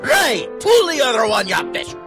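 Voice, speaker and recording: An actor playing a gruff, skeptical police officer with a put-on Irish accent in an audio drama. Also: male voice, extremely high pitch, wildly swooping pitch, moderate pace, music in the background, full character voice. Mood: scornful disbelief.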